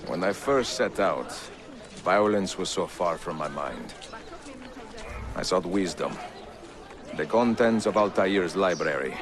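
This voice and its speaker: An actor playing a composed, dry-witted Italian master assassin and mentor, with an Italian accent and a measured, courteous manner, in a video game. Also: male voice, low-pitched, lively intonation, a crowd in the background. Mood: reflective, serious. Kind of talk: video game character acting. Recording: loud music behind the voice.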